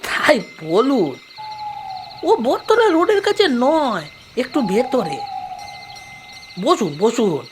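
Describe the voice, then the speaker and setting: A man playing a shadowy toto driver in an audio horror story, with a congested, phlegmy voice and halting, deferential talk. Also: very high voice, huge pitch swings, soft background music, heavily acted. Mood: obliging. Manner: hesitant, halting, folksy deference. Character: muffled rustic toto driver, shadowy night stranger.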